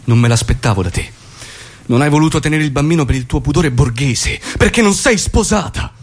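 A man's voice: on the high side, animated, brisk, breathy edge, faint music behind. An actor playing a commanding, brusque male revolutionary in emphatic, declamatory stage dialogue. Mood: scornful, hurt. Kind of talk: theatrical dialogue acting.

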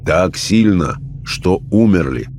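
A male actor plays a calm seance questioner in an audio story. His voice is low, grave and insistent, measured in delivery over slight background noise. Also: exaggerated voice.

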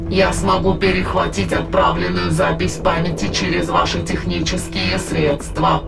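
A man playing a high, gravelly, cold alien entity. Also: full character voice. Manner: measured, clinical, matter-of-fact. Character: alien immaterial entity in a dead woman's body, cold, calculating. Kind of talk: audio drama acting.

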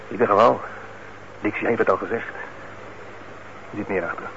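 Gruff, creaky low-voiced petty crook. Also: male voice, a little slow, fairly bright tone. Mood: irritated. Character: shady male petty crook pressuring an old accomplice. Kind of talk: Dutch radio play acting. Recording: muffled, very noisy old radio recording.

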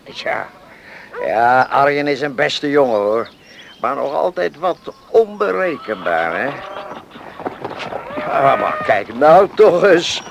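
Gravelly, slow-talking humble country neighbour. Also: male voice, medium pitch, sing-song, background noise, full character voice. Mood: easygoing, grateful. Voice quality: creaky, gravelly. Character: humble, good-natured older farm neighbour man. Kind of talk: radio drama acting.

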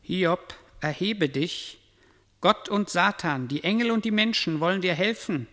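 A reverberant, stern voice of fate. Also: male voice, high-pitched, a little slow, fairly bright tone. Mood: solemn. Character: stern, detached omniscient male voice addressing the hero. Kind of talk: solemn radio drama narration. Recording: long echoing hall reverb.

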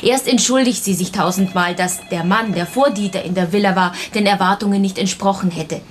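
A pert, sharp-witted female assistant to a detective played by an actress, with a medium-pitched voice. She talks colloquially and expressively in a radio crime play, with faint ambience behind her. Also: brisk, a little hiss or hum, close to an everyday voice. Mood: gossipy, exasperated. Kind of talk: German radio crime drama acting.

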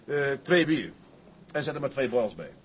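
A daring, stubborn sea captain played by a man with a medium-pitched male voice. He is firm and matter-of-fact in a radio drama, with clear ambient noise around him. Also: big pitch swings, normal speed, natural voice. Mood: brisk, welcoming.

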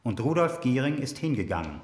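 Echoey recording, male narrator, mid pitch, scripted radio drama narration. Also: big pitch swings, brisk, darkish tone.

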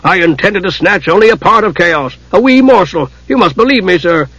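Dwarf god, played by a male narrator: indignant, pleading bluster, raised pitch, lively swings, fantasy audiobook. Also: fairly quick, husky, no room sound, lo-fi sound, exaggerated voice.

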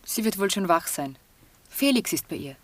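A woman's voice: lowish voice, animated, normal speed, dry recording. A woman playing an earnest, brooding young lady in a German radio drama, with intense, wistful, literary phrasing. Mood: subdued.

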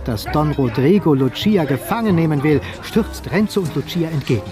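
A man narrating a radio play in an expressive, literary storytelling style. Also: on the high side, moderate pace, music in the background.

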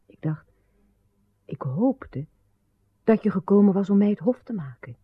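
A woman's voice: mid-range voice, expressive, unhurried, breathy edge, no room sound. An actress playing a wistful, tender queen in love in a radio drama. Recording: slightly dull old radio recording.

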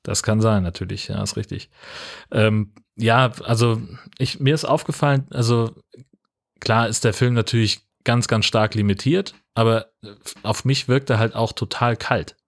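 A man's voice: fairly low pitch, moderate pace, vocal fry. A man co-hosting a podcast, talking through a film in a casual, colloquial and dry way. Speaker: podcast co-host. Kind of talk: casual film recap and chat.

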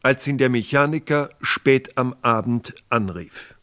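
A man narrating a scripted German radio crime drama in a measured, factual manner. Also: medium pitch, background noise.

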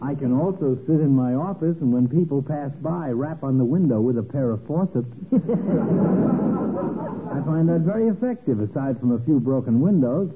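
An actor playing a droll, needling doctor with a dry, mock-dignified manner in a 1940s radio comedy. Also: male voice, mid-range voice, expressive, normal speed, close to an everyday voice. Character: droll, needling male doctor and health editor. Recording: tinny, faintly noisy old radio broadcast.

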